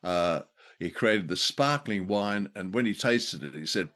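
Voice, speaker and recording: A man's voice: low voice, expressive, unhurried, a bit bright. A man co-hosting a radio show in casual chat, jokey and wry, with a rambling, hesitant delivery. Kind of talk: casual chat about old radio drama.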